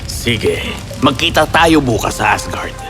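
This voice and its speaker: A man's voice: medium pitch, sing-song, unhurried. A man playing a sly, mischievous trickster god in a fairy tale, with theatrical, scheming delivery. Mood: sly, coaxing. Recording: loud background music.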